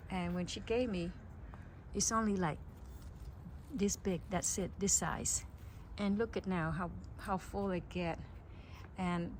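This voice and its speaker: A middle-aged woman from Cambodia giving a relaxed, chatty tour of her backyard garden, outdoors on a phone mic. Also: fairly low pitch, slight background noise. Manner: relaxed, chatty, simple phrasing. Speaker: gardening vlogger.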